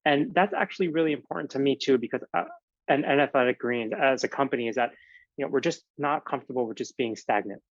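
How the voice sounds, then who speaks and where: A man's voice: medium pitch, fast talker, no room sound, highs cut off. Italian-born man, earnest podcast guest. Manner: earnest, explains in long hedged runs with fillers. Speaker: naturopathic physician guest. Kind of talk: casual podcast interview.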